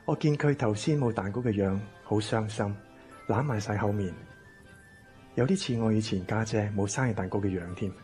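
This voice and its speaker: A man's voice: lowish voice, normal speed, darkish tone, faint music behind, lightly acted. A man playing a kind, wistful man with family regrets in a Cantonese radio drama. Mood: gentle, wistful.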